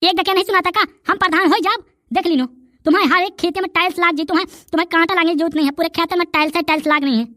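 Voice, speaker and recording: A man's voice: very high voice, fairly even intonation, very fast, pushed and bright, dry recording, clean studio sound. A man voicing a brash young village tough in a cartoon comedy, scolding and taunting in rustic Bundelkhandi speech. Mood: boastful.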